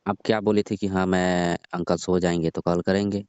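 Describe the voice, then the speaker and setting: Casting: male actor for jealous, sulky young lover in a call drama, dark monotone voice, complaining, dry lo-fi sound. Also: sits low, moderate pace, clean tone, no room sound, natural voice. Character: sulking, jealous young lover of a married woman. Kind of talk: scripted prank-style phone call drama in colloquial Hindi. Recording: dull lo-fi phone call recording.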